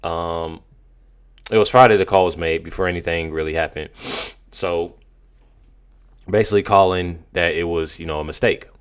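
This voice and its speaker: An American man doing casual political commentary as a video host, in an emphatic, slangy, halting way with many repeats. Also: low voice, clean tone.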